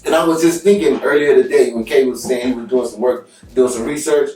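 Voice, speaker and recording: Co-host, man, mid-pitched, agreeable, distant in an echoey room. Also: brisk, a little mellow, soft background music. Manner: agreeable, chiming in with "yeah". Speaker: podcast co-host. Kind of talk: casual podcast interview.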